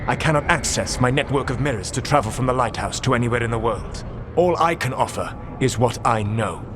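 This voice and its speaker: An actor playing an ancient male elven rebel god, measured and precise, with dry sarcasm. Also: medium pitch, over music, natural voice. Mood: dry, mocking. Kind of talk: video game dialogue. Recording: game audio with steady background noise.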